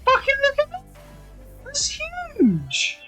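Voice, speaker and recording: A young man streaming a game and chatting casually. He is excitable, swears freely and often restarts his sentences. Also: slow, over music. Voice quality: fairly high-pitched voice. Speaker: gaming streamer. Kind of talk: casual chat while gaming.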